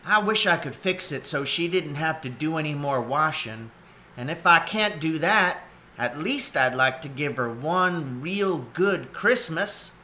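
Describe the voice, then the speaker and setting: A man's voice: on the high side, lively intonation, unhurried, bright, forceful tone, slight background noise, exaggerated voice. A man voicing a devoted young otter boy in a storybook, earnest and wistful.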